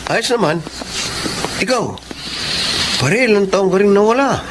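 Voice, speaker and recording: Supporting friend: a hesitant guy, high pitch, noisy tape. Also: animated, unhurried. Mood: chatty. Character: friend and narrator-like neighbor. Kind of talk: Filipino radio drama acting. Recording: noisy radio drama recording.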